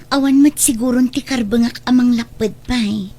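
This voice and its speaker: A woman as a coaxing daughter, fairly high-voiced. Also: fairly even intonation, normal speed, warm tone. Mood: apologetic, earnest. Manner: pleading, coaxing. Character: affectionate grown daughter, coaxing, playfully bossy matchmaker. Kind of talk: radio drama acting.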